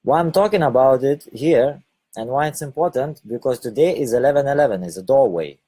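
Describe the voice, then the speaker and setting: A man from Lithuania with a Lithuanian accent, giving a halting spoken monologue and often repeating or correcting his words. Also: mid-range voice, expressive, dark tone, husky. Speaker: online video host.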